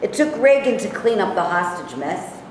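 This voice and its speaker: Woman reading a pushy dismissive loudmouth part, echoey staged reading, some room noise. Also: medium pitch, very animated, normal speed, close to an everyday voice. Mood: belligerent. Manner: belligerent, dismissive. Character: gruff, sexist, Reagan-loving loudmouth man. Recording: echoey noisy room from far off.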